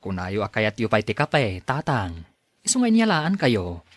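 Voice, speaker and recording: Dutiful adult son played by a man, brisk and attentive, dry studio sound, audio-drama caregiver role. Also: lowish voice, wildly swooping pitch, dry recording. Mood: attentive, coaxing. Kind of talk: Ilocano audio drama acting.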